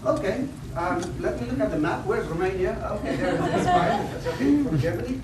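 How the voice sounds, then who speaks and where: A man's voice: high-pitched, animated, fairly quick, crowd noise. A man in his fifties from northern Iraq, giving a conference talk with rambling personal stories, self-deprecating jokes and frequent restarts. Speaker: invited conference speaker. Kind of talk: lecture opening with personal anecdotes. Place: lecture hall. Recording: echoey hall, distant mic, background noise.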